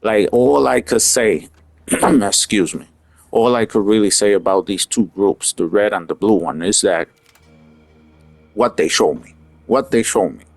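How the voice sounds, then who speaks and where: Low-voiced man ranting earnestly. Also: a little slow, gravelly, long pauses, no room sound, soft background music. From Puerto Rico. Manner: earnest, opinionated, talks in loose rambling asides. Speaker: vlogger. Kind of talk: casual opinion monologue.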